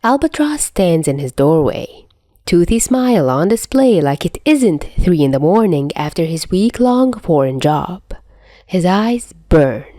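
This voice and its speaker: Unhurried, expressive woman narrator, lowish voice. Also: dry recording. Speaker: podfic narrator. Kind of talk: expressive fan fiction narration.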